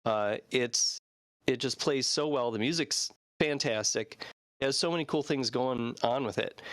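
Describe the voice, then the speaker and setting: Man, 30s, mid-pitched, enthusiastic and earnest panel guest, very dry sound. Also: a little slow, fairly bright tone, dry recording. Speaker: guest, fan translation team member. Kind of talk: casual panel chat.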